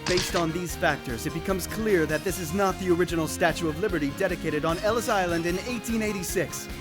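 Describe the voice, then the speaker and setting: A man plays a young rookie lawyer in a parody cartoon, flippant and a bit clueless. His high voice leaps around in pitch, full of bumbling bravado over music. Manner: flippant, sarcastic, stammering bravado. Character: cocky, dim, bumbling young male rookie defense attorney. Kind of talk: comedic parody voice acting.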